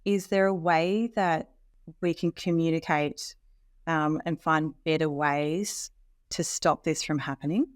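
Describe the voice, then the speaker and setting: A woman hosts a casual interview with a notably low voice. She asks questions and reacts with brief encouraging sounds. The recording is dry, with little room sound. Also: fairly even intonation, a little slow, dry recording. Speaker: podcast host.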